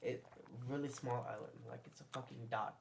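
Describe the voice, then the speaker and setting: A man in his twenties, born in the Caribbean, telling a story on a casual podcast, halting and full of fillers. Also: medium pitch, steady tone, creaky, a little hiss or hum. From Caribbean; born in Guyana, grew up in Antigua. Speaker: guest.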